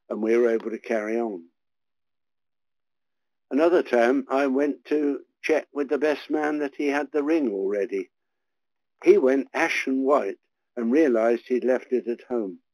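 An older man, a retired parish priest, telling gentle, relaxed stories from his ministry. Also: medium pitch, measured pace, no echo, low-quality recording. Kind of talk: reflective storytelling.

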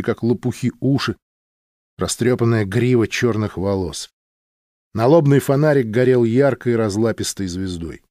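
A man narrating an audiobook from a script in measured, clearly enunciated phrases. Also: mid-range voice, darkish tone, dry recording, studio quality.

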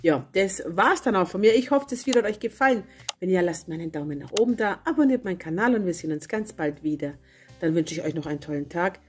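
A woman with a cold and a hoarse voice, chatting casually as she narrates a home baking video and correcting herself as she goes. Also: fairly low pitch, fast. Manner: chatty, self-correcting, homely. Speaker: cooking channel host. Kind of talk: casual recipe voice-over. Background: soft guitar music.

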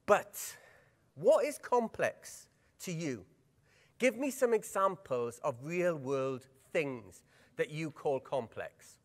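A middle-aged man with a Welsh accent running a workshop at a conference, lively and engaging, putting questions to the audience. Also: fairly high pitch. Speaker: workshop presenter. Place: large conference hall. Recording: long hall reverb.

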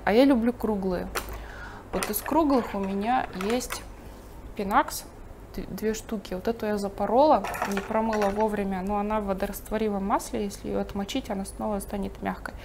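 Woman art teacher, calm running commentary while painting; recording has a clear hiss. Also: medium pitch. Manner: thinking aloud while painting, calm and explanatory. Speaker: painting instructor. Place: studio table, overhead camera on the painting.

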